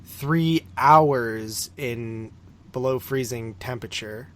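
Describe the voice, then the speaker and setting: Man, mid-pitched voice, podcast co-host, loose rambling movie chat, informal, swears casually. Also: slow, fairly bright tone, a little hiss or hum. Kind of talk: casual film recap chat.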